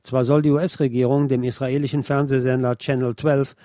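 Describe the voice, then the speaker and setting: A man presenting scripted political news commentary in a measured, formal delivery. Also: mid-range voice, little pitch movement, mellow, dry recording, studio quality.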